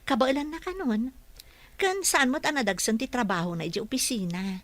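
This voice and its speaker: A woman's voice: high voice, brisk, fairly bright tone, dry recording. A woman playing a dutiful, respectful caregiver in a radio drama. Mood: modest, gentle. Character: dutiful, respectful female caregiver to her boss.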